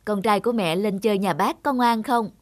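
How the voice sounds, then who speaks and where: A woman voicing a gentle, lonely village mother in a children's cartoon, speaking softly and affectionately. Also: mid-range voice, fairly quick, no echo, studio quality, natural voice. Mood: fond. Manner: soft, patient, affectionate. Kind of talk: dubbed children's cartoon dialogue. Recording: music behind the voice.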